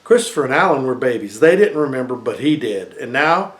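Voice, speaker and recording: A man, middle-aged, reading a storybook aloud expressively, with deliberate dramatic pauses. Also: medium pitch, expressive.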